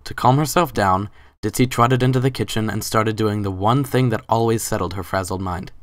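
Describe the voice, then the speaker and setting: Low-pitched man narrating a story. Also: normal speed, no echo, clean studio sound. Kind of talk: dramatic scripted story narration.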